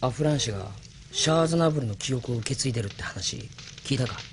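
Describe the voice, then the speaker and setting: Very fast-talking male crewman, acted. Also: medium pitch, expressive, slight background noise, close to an everyday voice. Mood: matter-of-fact. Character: matter-of-fact male rebel crewman. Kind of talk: Japanese anime audio drama acting.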